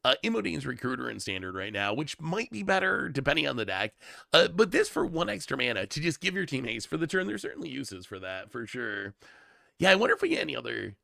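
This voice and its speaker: A man's voice: medium pitch, gravelly, bright, forceful tone, no room sound, clean studio sound. A man thinking aloud on a casual livestream, often repeating words, with a voice worn down from congestion. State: losing his voice from congestion. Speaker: streamer reviewing card spoilers.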